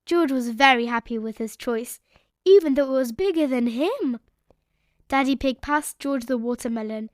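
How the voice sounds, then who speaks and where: A woman narrating a children's read-aloud story in an expressive, measured storytelling manner. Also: sits high, dry recording, clean studio sound.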